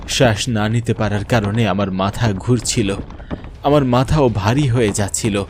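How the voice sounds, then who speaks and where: A man's voice: lowish voice, normal speed, background noise. A man narrating a scripted first-person horror story in a grave, dramatic way.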